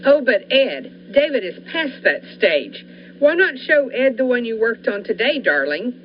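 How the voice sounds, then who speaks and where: A woman's voice: a little high, sing-song, measured pace. A young woman playing a scientist's devoted, fervent wife in a 1940s-style radio thriller, earnest and persuasive. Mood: bright, supportive. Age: young adult. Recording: dull lo-fi recording with faint noise.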